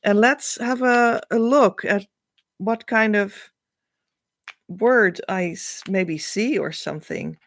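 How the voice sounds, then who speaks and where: A woman with a Dutch accent, a spiritual coach thinking aloud as she reads meaning into letters, with many pauses and hesitations. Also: medium pitch. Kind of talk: casual spiritual reading.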